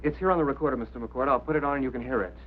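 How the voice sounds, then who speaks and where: An actor playing an earnest, worried radio operator in a 1950s drama, insistent yet deferential, on a vintage soundtrack. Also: male voice, medium pitch, rapid-fire, a little hiss or hum, natural voice. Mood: urgent. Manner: urgent, deferential but insistent. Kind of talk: 1950s television drama acting. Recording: tinny vintage film soundtrack.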